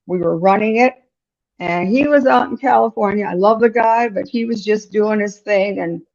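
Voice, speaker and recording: Woman in her 60s, mid-pitched, frank and chatty, storytelling guest, slightly dull lo-fi podcast sound. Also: moderate pace, gravelly, a little mellow. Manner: frank and direct, chatty, acts out quoted dialogue. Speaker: business owner guest. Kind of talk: conversational storytelling in an interview.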